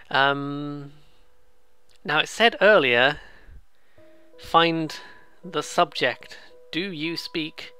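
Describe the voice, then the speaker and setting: Bright-voiced, rambling let's player. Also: medium pitch, clean tone. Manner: rambling, excitable, self-interrupting. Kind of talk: casual game commentary, reading dialogue aloud. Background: game music.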